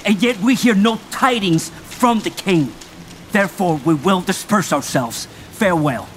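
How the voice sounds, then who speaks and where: An actor playing an anxious army captain in a Shakespeare radio drama, delivering verse gravely and full of foreboding. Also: male voice, high-pitched, expressive, a little slow, slight background noise. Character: anxious Welsh army captain, fearful of omens. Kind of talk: Shakespearean stage acting for radio.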